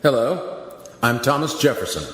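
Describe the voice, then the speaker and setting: A man's voice: medium pitch, animated, moderate pace, fairly bright tone, lightly acted. A man playing an earnest, dignified founding statesman in a radio play, speaking in a formal, oratorical way. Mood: courteous. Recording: echoey hall-like reverb.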